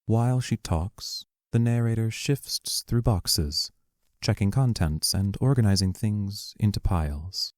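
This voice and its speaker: A man reading the stage directions of an audio play in a measured, matter-of-fact way. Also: fairly low pitch, expressive, a little mellow, no room sound, clean studio sound. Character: impersonal stage-direction narrator. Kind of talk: scripted narration.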